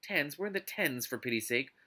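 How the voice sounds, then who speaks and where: A man's voice: on the high side, expressive, brisk, pushed and bright. A man voicing a suave, teasing, commanding lover from a romance novel, smug and sardonic. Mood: amused.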